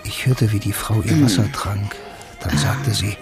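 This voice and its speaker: An actor playing a blind, film-loving loner, narrating a radio play in a dry, wry, laconic manner. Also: male voice, lowish voice, a little slow. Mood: reflective. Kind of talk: first-person radio drama narration and dialogue. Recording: loud music behind the voice.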